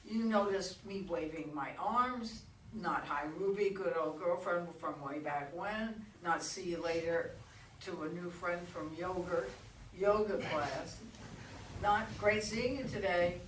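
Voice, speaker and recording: A woman's voice: on the low side, measured pace, natural voice. A woman in a staged play reading, acting an exasperated, defensive woman who argues curtly with a pest. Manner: indignant, curt, argumentative. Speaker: amateur play reader. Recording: distant roomy recording with faint noise.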